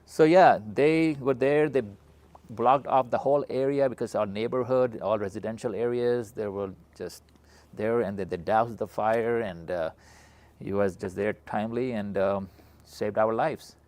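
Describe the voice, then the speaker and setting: Low-voiced middle-aged man, Pakistani accent. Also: measured pace. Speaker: interviewer, physician hosting a talk. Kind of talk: conversational interview with storytelling. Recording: noticeable steady background noise.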